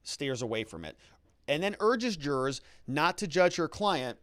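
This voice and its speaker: Animated, higher-voiced man giving emphatic commentary. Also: unhurried, no echo. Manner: emphatic, theatrical delivery with dramatic stresses. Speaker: host of a legal commentary show. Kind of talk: trial recap commentary, reading quotes aloud.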